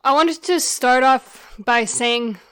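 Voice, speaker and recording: A woman's voice: high voice, slow, fairly bright tone, clear, resonant tone, dry recording. A woman co-hosting a casual film podcast, thinking aloud and trailing off as she works out her views. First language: not Portuguese. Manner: reflective, thinks aloud with restarts. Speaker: podcast co-host.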